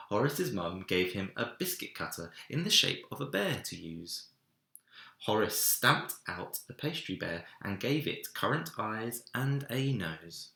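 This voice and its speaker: A man narrates a children's storybook with very animated intonation. His voice is bright and fairly low, and he takes his time in a roomy, echoey space. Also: gravelly. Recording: roomy, echoey indoor sound.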